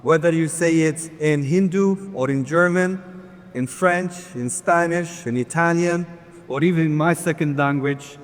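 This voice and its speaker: Male conference presenter, earnest with light humor, slightly high voice, long hall reverb. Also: measured pace. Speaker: conference speaker.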